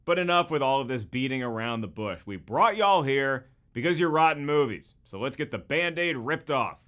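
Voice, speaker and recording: A man's voice: mid-range voice, expressive, normal speed, a bit bright. A man delivering scripted comedic narration as a host, with a wry, joking manner and exaggerated emphasis. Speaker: host of a movie comparison video.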